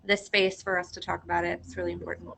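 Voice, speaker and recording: Guest, woman, gravelly fry, bright edge, chatty, lots of fillers. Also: fairly low pitch, flat delivery, fast, slight background noise. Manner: fills with "like" and "um". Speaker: guest voice teacher. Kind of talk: casual interview chat.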